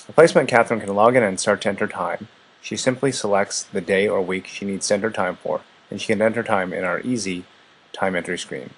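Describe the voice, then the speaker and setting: A man narrating a scripted software product demonstration as its presenter. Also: lowish voice, slightly rough.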